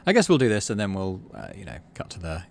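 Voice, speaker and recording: A man, middle-aged, hosting a game playthrough, mixing casual commentary with expressive story reading. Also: sits low, quick pace, vocal fry. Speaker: let's play host.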